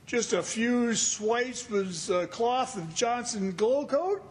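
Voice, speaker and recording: A man's voice: extremely high pitch, animated, slow, heavily acted. A man playing a jovial, folksy old caretaker who chuckles at his own corny jokes in an old-time radio comedy.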